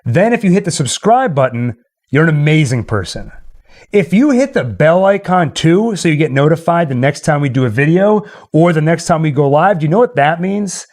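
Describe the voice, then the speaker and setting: A man's voice: fairly high pitch, lively intonation, normal speed, darkish tone. A man hosting a casual livestream, chatting in a jokey, rambling way. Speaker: livestream host. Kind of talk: casual livestream chat and sign-off. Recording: music playing behind the voice. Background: Halloween novelty songs.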